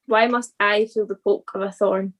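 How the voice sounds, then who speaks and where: A woman reading a scripted church devotional in an earnest, deliberate manner. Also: medium pitch, fairly even intonation.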